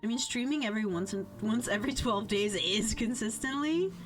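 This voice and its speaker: A woman's voice: on the high side, lively intonation, brisk. A woman livestreamer chatting casually and reading out chat, playful, theatrical and sweary, with lots of slang. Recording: background music under voice. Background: music.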